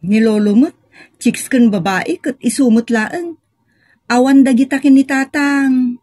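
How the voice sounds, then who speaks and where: An actress with a northern Philippine accent playing an inquisitive, protective daughter in an Ilocano comedy drama. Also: female voice, sits high, a little slow, mellow, no room sound, clean studio sound. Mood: questioning, defensive. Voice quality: husky. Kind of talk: Ilocano comedy radio-style drama acting.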